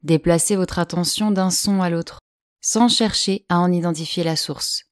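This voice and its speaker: A woman with a slightly low voice leads a guided yoga nidra relaxation. She speaks slowly and soothingly, leaving long pauses between instructions. The recording is dry and close, with no room sound. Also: no room sound. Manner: slow, calm, soothing delivery with long pauses.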